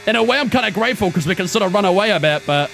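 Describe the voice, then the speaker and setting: A man's voice: high voice, lively intonation, rapid-fire, gravelly, fairly bright tone, soft background music. A man in his twenties streaming a racing game, giving chatty running commentary and talking to his chat. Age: 20s. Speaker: gaming streamer. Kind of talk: casual live-stream commentary while racing.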